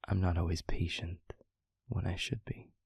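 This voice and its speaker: A man playing a gentle, thoughtful demon mentor in an intimate audio roleplay, speaking softly in a breathy, half-whispered voice with measured, hesitant pauses. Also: low-pitched, no room sound, clean studio sound, exaggerated voice. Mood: reflective, earnest.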